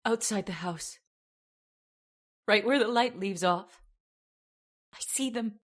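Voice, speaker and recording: A woman's voice: mid-range voice, slow pace. A woman playing a frightened, fragile young woman in a radio horror drama, with dramatic, fearful delivery. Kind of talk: old-time radio horror acting.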